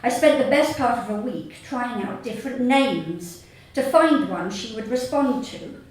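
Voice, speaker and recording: A woman with a southern English accent reads her humorous short story live on stage, deadpan and measured, with pauses. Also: on the high side. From Sussex, England. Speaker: author reading own short story. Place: small theatre stage before an audience. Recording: very echoey hall, distant mic, faint noise.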